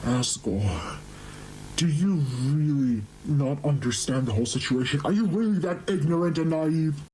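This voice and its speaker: A man's voice: a little high, expressive, unhurried, mellow, a little hiss or hum, poor audio. A man voicing an exhausted, anxious monster queen who scolds her husband in an amateur horror drama. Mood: exasperated, scolding.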